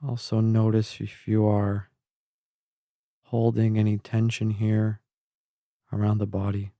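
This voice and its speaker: Male meditation guide: calm, gentle, mellow tone with some creak, recorded close and dry. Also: on the low side, dry recording. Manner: calm, measured, gentle, with long pauses. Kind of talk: slow guided meditation narration.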